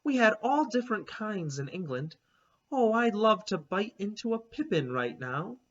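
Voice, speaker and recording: A blunt, homesick pilgrim woman, put on by a man in a high, swooping voice from a children's storybook. She talks in an old-fashioned, matter-of-fact way and sounds incredulous at modern ideas. The recording is a little dull and lo-fi. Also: sing-song, normal speed. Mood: wistful.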